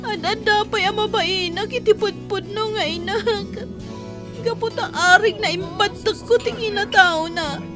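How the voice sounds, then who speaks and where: A woman's voice: very high voice, a little slow, music in the background. A woman reading scripted narration for a radio drama.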